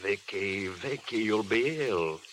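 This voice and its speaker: An actor playing a kindly, protective studio head in an old radio drama. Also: male voice, fairly low pitch, lively intonation, slow pace, loud music behind the voice. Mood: concerned. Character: kindly, protective middle-aged studio head, steady loyal friend. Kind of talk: 1930s-40s radio drama acting. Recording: old radio broadcast with hissy noise.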